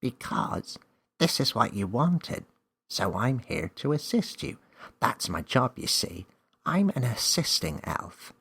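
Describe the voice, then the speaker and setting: A man's voice: medium pitch, very animated, slow pace, creaky, sharp tone, husky, pitched above the performer's own voice, full character voice. A man voicing a tiny, cheeky elf in a children's story, friendly and bossy, with a teasing, theatrical manner.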